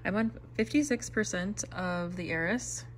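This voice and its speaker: A young woman with a raspy voice chatting casually about books and coffee at home, rambling with lots of "like". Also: medium pitch, normal speed, slight background noise. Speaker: book vlogger. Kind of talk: casual vlog chat. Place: home, bedroom and kitchen.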